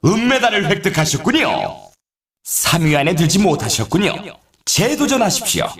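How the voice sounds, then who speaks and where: An actor playing an excitable male snowboard race announcer in a video game, with formal, polite phrasing and showy flair. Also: high-pitched, roomy sound, full character voice. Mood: regretful.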